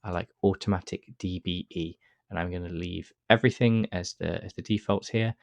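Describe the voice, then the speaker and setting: A man with a cold and a stuffy nose, calmly talking through a software tutorial step by step with frequent uh fillers. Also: sits low, brisk, vocal fry, dry recording. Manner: relaxed step-by-step explaining with many uh fillers. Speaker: astrophotography tutor. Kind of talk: screen-recorded software tutorial.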